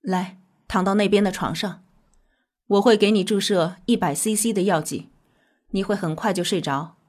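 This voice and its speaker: An actress playing a composed research doctor in a science fiction radio drama, speaking in a calm, formal, matter-of-fact way. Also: female voice, mid-range voice, normal speed, lightly acted. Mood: composed, solemn. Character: composed female research doctor, frank and clinical. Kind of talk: Chinese sci-fi radio drama acting.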